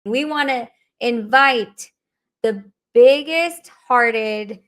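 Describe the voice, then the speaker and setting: A woman's voice: fairly high pitch, extremely slow, a bit bright. A woman co-hosting a podcast, introducing a guest in an upbeat, enthusiastic way. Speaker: podcast co-host. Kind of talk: casual chat, introducing a guest.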